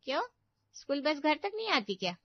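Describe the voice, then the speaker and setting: A woman's voice: high-pitched, very animated, brisk, dry recording. A woman voicing a boastful, fault-finding elder aunt in a Hindi cartoon family story, with a scolding, exclamatory manner. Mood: nagging. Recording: dull, muffled studio voice track.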